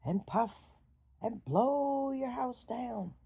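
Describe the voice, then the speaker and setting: Woman playing a raspy, blustering big bad wolf. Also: a little high, voice pushed up, heavily acted. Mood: menacing, blustering. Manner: forceful, drawn-out threats. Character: big bad wolf, menacing, demanding, blustering storybook villain.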